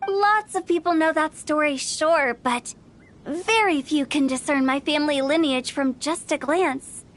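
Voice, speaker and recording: Casting: mischievous teen girl director, game role, extremely high pitch, chatty and theatrical. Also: a little slow, fairly bright tone. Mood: intrigued. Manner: teasing, chatty, slightly theatrical. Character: playful, quirky teenage girl funeral director, inquisitive and theatrical. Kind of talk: anime game voice acting.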